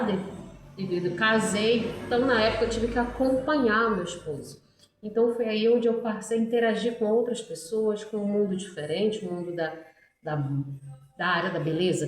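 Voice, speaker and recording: A middle-aged Brazilian woman chatting casually and in a rambling way to her followers about herself, with frequent tag questions. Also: medium pitch. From Brazil, born in Ceará, raised in Pará. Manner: rambling, frequent "né" tags, addresses viewers. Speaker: hairdresser making a video for followers. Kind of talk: casual chatty vlog monologue. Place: echoey space by a busy street. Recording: echoey, distant microphone.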